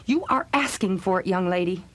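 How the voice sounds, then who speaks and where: A woman's voice: medium pitch, animated, brisk, natural voice. A woman plays a long-suffering American mother in a children's audio drama. Her medium voice swoops expressively as she speaks with firm, pleasant but exasperated emphasis. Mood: stern, exasperated.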